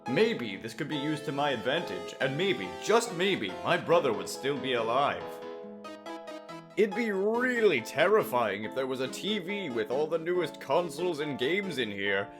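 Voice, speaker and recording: Man playing an earnest, high-voiced knight storyteller. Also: sing-song, moderate pace. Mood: sly, storytelling. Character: earnest questing young male knight, wry and easily startled. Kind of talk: first-person dramatic fantasy narration. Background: music.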